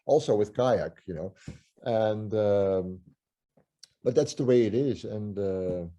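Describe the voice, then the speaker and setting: Older man, halting and mellow. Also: on the low side, dull audio. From Netherlands. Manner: halting, many restarts and fillers. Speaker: musician guest. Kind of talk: casual interview talk.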